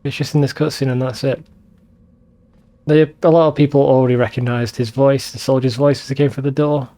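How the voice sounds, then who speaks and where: A man's voice: medium pitch, steady tone, a little fast, warm tone. A man giving informal, sweary gaming commentary as a let's play host. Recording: faint game music behind voice. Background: game soundtrack.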